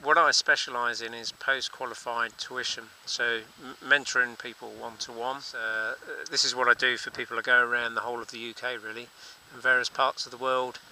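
A man's voice: mid-range voice, flat delivery, a little fast, low-quality recording. A man who teaches paragliding, talking casually as he flies outdoors on a helmet camera mic. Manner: chatty, explaining as he flies. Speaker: paragliding instructor. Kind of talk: casual narration while flying. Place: outdoors, paragliding over sea cliffs. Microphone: helmet-mounted camera mic. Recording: loud wind noise on an action-camera mic.

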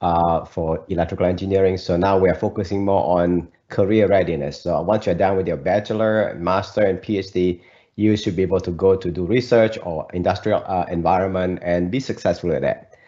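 Low-voiced man, hesitant professor. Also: fairly even intonation, a little fast, warm tone. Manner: hesitant, many fillers. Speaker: engineering faculty advisor. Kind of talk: panel self-introduction. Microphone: video call. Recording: dull lo-fi video-call audio.

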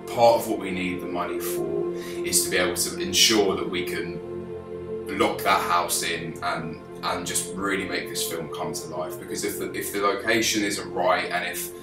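A young man from England in his twenties, pitching his film to camera with eager gestures and frequent restarts. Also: steady tone, moderate pace, recorded from a distance. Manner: enthusiastic, gestures with his hands, many restarts. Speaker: film director pitching a crowdfunding campaign. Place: small office, indoors. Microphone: camera a few feet away. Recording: roomy office sound with background music.

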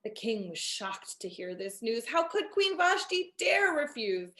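A woman in her thirties reading a rhyming children's storybook expressively and deliberately over a video call. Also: on the high side, sing-song, a bit bright. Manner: measured, deliberate storybook delivery. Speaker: storyteller and author reading her picture book. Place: indoor room at home, on a video call. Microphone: computer video call.

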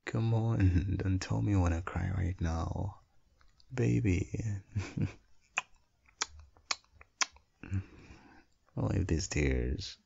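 A man playing an affectionate, playful husband and doting father in an intimate ASMR audio roleplay, with a husky, soothing delivery. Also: takes it slowly, dark tone, muffled recording, full character voice. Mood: soothing, amused. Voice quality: low, gravelly, husky.

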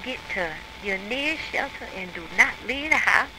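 A woman's voice: on the high side, animated, moderate pace, lightly acted. A woman playing an insistent, folksy radio broadcaster giving an emphatic storm warning in a radio drama. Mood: stern, urgent. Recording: noisy radio broadcast with rain behind.

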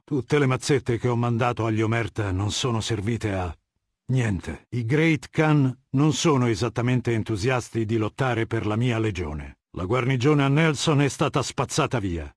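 An actor playing a ruthless middle-aged warlord dictator in a video game, speaking in an imperious, measured, menacing manner with a husky voice. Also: male voice, medium pitch, no echo, clean studio sound, close to an everyday voice. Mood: stern reproach. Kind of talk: dubbed video game dialogue.

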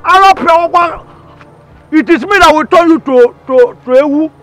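Shrill, scornful Igbo village hothead. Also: male voice, normal speed, a bit bright, soft background music. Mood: taunting. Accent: Nigerian, Igbo. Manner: scornful, stammering repetition. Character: indignant, insulting, hot-tempered village man. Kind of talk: Nigerian film drama acting.

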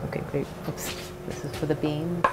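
A woman's voice: low voice, flat delivery, moderate pace. A woman in her sixties casually explaining recipes as host of a cooking show in a large kitchen. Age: 60s. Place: large indoor demonstration kitchen. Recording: faint background noise.

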